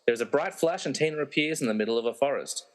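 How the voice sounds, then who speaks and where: A man's voice: mid-range voice, racing. A man narrating a radio play, reading out scripted scene directions.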